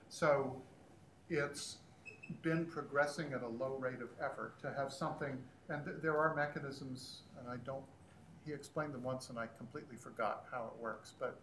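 A man with a mid-pitched voice talks unscripted on a conference panel. He feels his way through his points haltingly, and the room rings around him with a faint layer of noise. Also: fairly even intonation, a bit bright, echoey room, low-quality recording. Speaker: panelist, standards group member. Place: conference room panel before seated audience.

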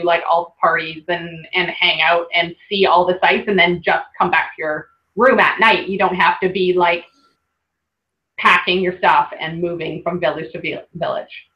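A woman's voice: fairly low pitch, muffled recording. A woman hosting an online workshop, chatting casually through slides with lots of laughs. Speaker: workshop host presenting slides. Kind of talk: casual webinar presentation.

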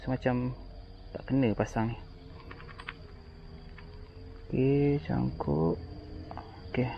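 A man with a Kelantanese Malay accent talks through his fishing trip in a casual, chatty way, breaking into excited exclamations as fish bite. His voice sits at an ordinary middle pitch. He is recorded outdoors by a riverbank on a body-worn action camera, with a faint outdoor hiss behind him. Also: takes it slowly, gravelly, lo-fi sound. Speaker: fishing vlogger. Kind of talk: casual fishing vlog commentary. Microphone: chest- or head-mounted action camera.

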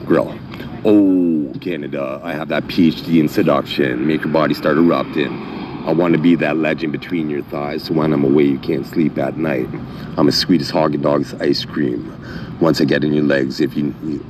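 Man, middle-aged, very deep voice, rambling, half-chanted reading, fillers, noisy phone audio. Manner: halting, many fillers and restarts. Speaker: channel owner. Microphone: phone mic.

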